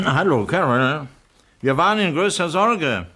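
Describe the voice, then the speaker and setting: A man's voice: a little high, animated, takes it slowly, pushed and bright, exaggerated voice. An actor playing a courteous, concerned doctor and father in a 1960s German radio crime drama. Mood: urgent concern.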